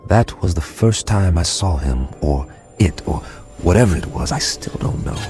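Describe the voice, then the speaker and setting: An actor playing a government codebreaker who narrates reflectively and wryly in a radio drama. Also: male voice, sits low, animated, normal speed, a little mellow, soft background music. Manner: wry, reflective storytelling. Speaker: narrator and character, Mr. Chambers.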